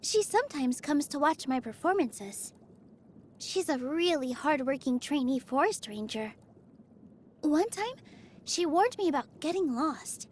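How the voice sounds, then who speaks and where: An actress voicing a gentle, cheerful young woman dancer in a video game, speaking in a sweet, earnest, easily flustered manner. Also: high voice, lively intonation, unhurried, a little hiss or hum. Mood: cheerful, musing. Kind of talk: video game character voice lines.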